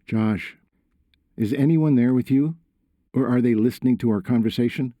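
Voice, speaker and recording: A man's voice: medium pitch, normal speed, warm tone, no room sound, clean studio sound, pitched above the performer's own voice, natural voice. A man voicing a worried father in an audiobook, stern but caring, earnest and urgent. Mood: concerned.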